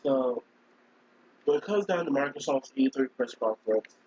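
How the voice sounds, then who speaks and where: A man with a cold, hoarse and scratchy, chatting casually on a live stream and rambling as he keeps clearing his throat. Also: mid-range voice, animated, moderate pace, a little mellow, highs cut off. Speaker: gaming streamer.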